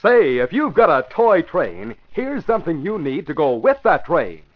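A man's voice: fairly high pitch, very animated, normal speed, noisy background. An American man announcing and narrating in 1950s radio style, with polished, emphatic salesmanship. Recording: dull vintage radio broadcast.

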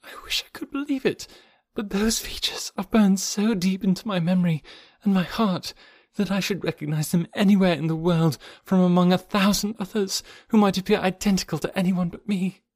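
Man voicing an anguished young American woman, pitched high. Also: animated, moderate pace, hardly any pauses, no echo, clean studio sound, exaggerated voice. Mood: pleading disbelief. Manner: plaintive, breathy exclamations, anguished. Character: grief-stricken young American woman, tender and dramatic. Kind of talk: dramatic audiobook character voice.

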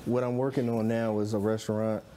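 A man's voice: on the low side, level tone, fairly quick, warm tone. A man in his late thirties chatting casually as a chef being interviewed. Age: late 30s, nearly 40. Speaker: celebrity chef and caterer, guest.

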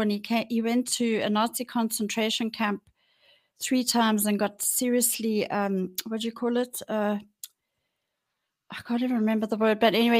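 South African woman, sixties, slightly high voice, blunt and candid guest, halting with ums. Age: 60s. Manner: frank and blunt, with frequent ums and trailing restarts. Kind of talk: candid personal storytelling.

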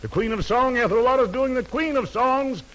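Male American radio host, very high voice, showy jive patter, old 1940s broadcast sound. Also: moderate pace, a bit bright, poor audio. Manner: showy, slangy delivery.

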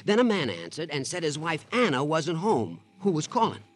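An actor playing an arch, nosy American man who relishes scandal, with a husky, teasing delivery in a 1950s radio drama. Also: fairly high pitch, wildly swooping pitch, moderate pace. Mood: sly, amused. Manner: mannered, teasing, sly.

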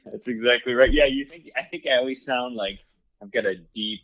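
A man's voice: medium pitch, a little fast, a bit bright, dry recording, lo-fi sound. A man guesting on a hunting podcast, talking in an easygoing, hesitant way with lots of ums and likes. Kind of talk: casual podcast conversation.

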